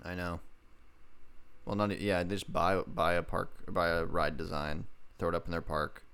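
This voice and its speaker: A man with a very low, clean voice chats casually as a podcast co-host. He teases playfully and likes to drop into mock quotes. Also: level tone, moderate pace, fairly bright tone, clear, resonant tone. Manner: playful, teasing, slips into mock quotes and sing-song. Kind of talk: casual banter on a review podcast.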